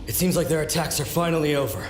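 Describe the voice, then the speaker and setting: A man's voice: fairly high pitch, fast, a little hiss or hum, close to an everyday voice. A man voicing a loyal, resolute samurai officer who speaks formally in a video game. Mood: firm. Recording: roomy echo, game music behind.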